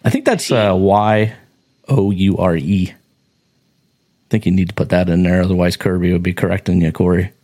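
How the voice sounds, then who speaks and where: A man's voice: sits low, fairly even intonation, normal speed, warm tone. A man hosting a casual podcast, joking around and chatting easily with his co-host. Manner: joking, playful. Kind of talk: casual chat.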